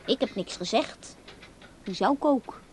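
An actress playing a naive, blunt, skittish kitchen worker in a radio play, blurting things out artlessly and defensively. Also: female voice, a little high, lively intonation, slow pace, noisy background.

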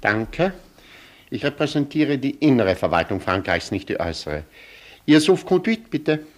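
A pedantic male police sergeant from a radio play, played by an actor. His medium-pitched voice rises and falls widely as he recites stiff legal phrases with officious precision. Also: very animated, normal speed, lightly acted.

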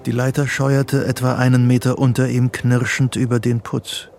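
An actor narrating a psychological thriller radio play as a sober storyteller. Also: male voice, mid-range voice, flat delivery, normal speed, gravelly, a little mellow, slight background noise, lightly acted. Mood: sober, suspenseful. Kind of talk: scripted literary narration.

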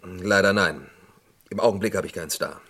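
An actor playing an accused lab worker in a vintage radio crime drama, formal, hesitant and protesting. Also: male voice, deep voice, normal speed, bright, forceful tone. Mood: evasive, uneasy. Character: accused male lab worker, defensive and evasive. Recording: roomy old radio play recording.

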